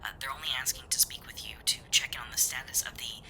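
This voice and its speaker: A man puts on a weary front desk clerk for an audio roleplay. The voice is breathy and whispered throughout. The manner is prim and formal, with a dry, dismissive edge. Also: medium pitch, steady tone, fast, voice pushed up, natural voice. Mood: dry, impatient. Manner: formal, dryly dismissive, hesitant uhs. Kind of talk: ASMR roleplay voice acting.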